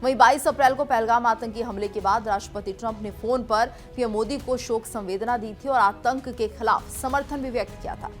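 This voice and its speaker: Female news presenter, higher-pitched voice, scripted bulletin narration, faint music bed underneath. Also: a little fast, few pauses.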